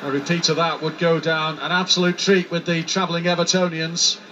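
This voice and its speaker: A man giving broadcast football match commentary in a brisk, lively delivery. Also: fairly high pitch. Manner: excited, shouting over goals. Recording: dull broadcast clip with noise.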